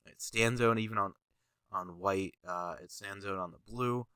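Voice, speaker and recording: A young man with a stuffy, congested voice wanders through casual commentary full of fillers and tangents. His tone is bright and a little tense, pitched slightly low, and the recording is close and dry. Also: moderate pace, smooth, no echo. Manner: rambling, lots of fillers, goes on tangents. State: stuffy nose, sniffling, tired. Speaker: channel host reviewing his own jersey designs. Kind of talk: casual commentary over design images.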